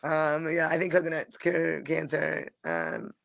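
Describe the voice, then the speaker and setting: A woman's voice: sits low, big pitch swings, creaky, a bit bright, no echo, clean studio sound. A woman comedian chatting casually as a podcast guest, stumbling over restarts and acting out quotes as she tells stories. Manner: halting, full of restarts, acts out quotes in her stories.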